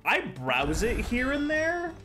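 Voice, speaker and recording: A man's voice: very high voice, wildly swooping pitch, slow pace. A young man with a stuffy, congested voice from a cold, chatting casually and swearing while streaming a game from his bedroom on a headset. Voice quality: sweary, rambling. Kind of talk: casual gaming commentary. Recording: game music behind.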